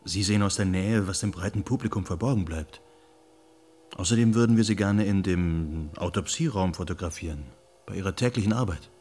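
An actor playing a thoughtful, anxious journalist in a radio drama, reflective and measured. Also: male voice, deep voice, a little mellow, husky. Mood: dry, assured. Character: thoughtful, anxious male journalist, candid and dryly articulate.

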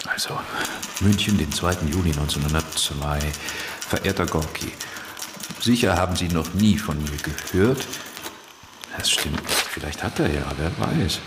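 An actor playing a self-important, scheming would-be publisher in a radio play, dictating pompously with muttered asides and self-corrections. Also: male voice, deep voice, lively intonation, measured pace. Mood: doubting aside. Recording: roomy, noisy radio drama scene.

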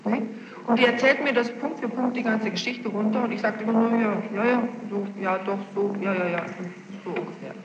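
A woman's voice: fairly high pitch, brisk. Muffled noisy archival tape, reverberant hall, young woman witness, defensive, insistent, unscripted testimony. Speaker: witness under questioning. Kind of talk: spontaneous testimony.

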